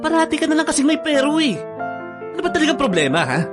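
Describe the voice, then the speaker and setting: A man's voice: extremely high pitch, very animated, fast. A man with a Filipino accent playing a hurt, pleading boyfriend in an online radio drama, coaxing and insistent. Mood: hurt, angry. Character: hurt, pleading boyfriend planning an anniversary getaway. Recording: music bed under the voice.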